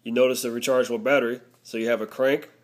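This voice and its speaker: A man's voice: mid-range voice, fairly even intonation, quick pace. A man narrating a casual product review, explaining things step by step.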